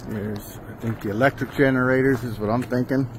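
A man's voice: medium pitch, fairly even intonation, fairly quick, noisy background. Rambling man narrating a walkabout. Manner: rambling, self-correcting, plain-spoken. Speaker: urbex vlogger. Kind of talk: casual walking narration. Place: outdoors and in a large abandoned industrial building.

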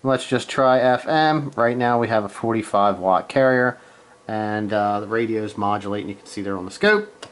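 A man giving a casual, hands-on gear demonstration, halting and unscripted as he thinks aloud from behind the camera in a room at home. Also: fairly low pitch, clear, resonant tone. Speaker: amateur radio hobbyist, presenter. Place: small room at home, indoors.

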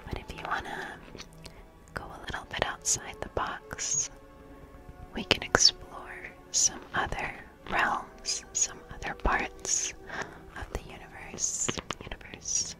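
A young woman whispering softly and breathily in an ASMR personal-attention roleplay as an art teacher, soothing and gentle, close to the microphone. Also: slow pace, faint music behind, poor audio. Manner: soft, soothing, gentle, repeating phrases. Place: small bright plant-filled room indoors. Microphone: close studio microphone with fluffy cover.

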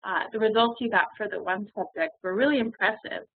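A woman's voice: medium pitch, fairly quick, breathy edge. A woman moderating a webinar question session, speaking with frequent ums and uhs. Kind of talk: hosting a webinar Q&A. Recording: tinny phone-like webinar audio.

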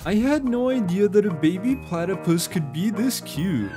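A man's voice: sits high, expressive, normal speed, over music. A man narrating a video compilation in scripted reaction commentary.

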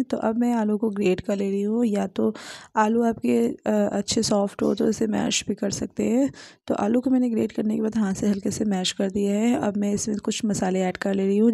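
A woman hosting a home cooking channel, talking through each step of a recipe in a plain, unhurried way with small fillers. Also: medium pitch, gravelly, dark tone, no echo, studio quality. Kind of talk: home cooking tutorial voice-over.

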